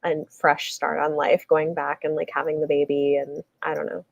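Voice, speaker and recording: A woman chatting casually about books on a livestream, animated and informal, halting as she thinks aloud. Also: sits low, vocal fry, dry recording. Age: adult, married with children. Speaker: book discussion host. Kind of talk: casual book chat on a livestream.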